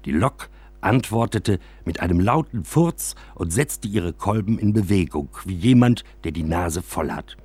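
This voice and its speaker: A man narrates a first-person literary story for radio. His voice sits fairly low and has a husky grain. He tells it expressively, in a close, dry studio sound. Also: darkish tone, no room sound.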